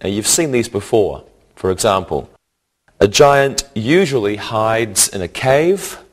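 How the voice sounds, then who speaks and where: A man teaching an English grammar lesson in a scripted, deliberate, instructional way, enunciating carefully. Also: lowish voice, expressive.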